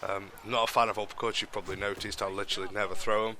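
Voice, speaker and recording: A young man talks over his boxing game in a low, nasal voice, his nose blocked by a cold. His delivery stays flat and even, wandering through ums and restarted sentences as he explains his tactics. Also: very fast, a little hiss or hum. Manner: rambling, many ums and restarts. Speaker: gaming commentator. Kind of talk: casual gameplay commentary.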